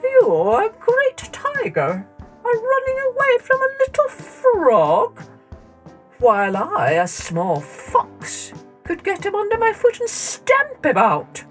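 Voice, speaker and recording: Boastful small male fox for a kids' storybook, female performer, high, scornful, drawn-out taunts. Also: huge pitch swings, soft background music, exaggerated voice. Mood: mocking, boastful. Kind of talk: children's storybook character voice.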